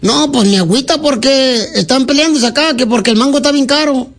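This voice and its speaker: A man putting on a high-pitched lonely prank caller. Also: a little fast, a bit bright, soft background music. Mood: teasing. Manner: rambling, teasing, crude jokes. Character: lonely silly male caller, cheeky and flirty.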